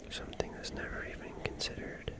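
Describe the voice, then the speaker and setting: A person musing reflectively in a soft, breathy, unvoiced ASMR whisper, leaving long pauses between phrases. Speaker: ASMR creator.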